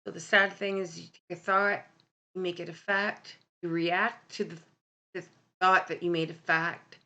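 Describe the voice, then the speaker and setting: A woman with a deep voice hosting a casual live stream, talking candidly and bluntly with many ums and self-corrections. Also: fairly even intonation, normal speed, bright, forceful tone, muffled recording. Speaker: livestream host. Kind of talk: casual live-stream talk about mental health.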